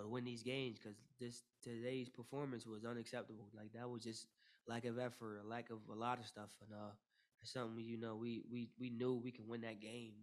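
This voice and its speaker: Young man, flat postgame answers. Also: mid-range voice. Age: young adult. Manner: flat, steady delivery full of "you know" fillers and repeated starts. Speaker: basketball player at postgame press conference. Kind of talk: postgame press conference answers. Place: press room with logo backdrop. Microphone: table mic in front.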